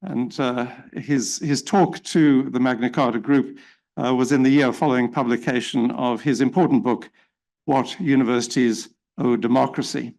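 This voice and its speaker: A middle-aged South African man with a South African accent chairing a symposium, giving a formal welcome speech in a measured way with frequent fillers. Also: mid-range voice, steady tone, creaky, darkish tone, rough recording.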